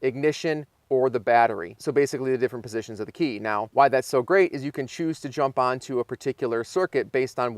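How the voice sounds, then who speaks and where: A man's voice: mid-range voice, no echo. A man giving a casual how-to, thinking aloud informally as he works with his hands. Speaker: host of a car installation how-to channel. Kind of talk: casual hands-on tutorial, talking through the work.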